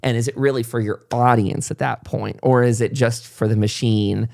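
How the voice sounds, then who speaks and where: A man's voice: medium pitch, fairly even intonation, normal speed, gravelly, a little mellow. Rambling, reflective male podcast host. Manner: rambling, reflective, thinking aloud. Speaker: podcast host and content creator. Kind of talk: casual conversational interviewing.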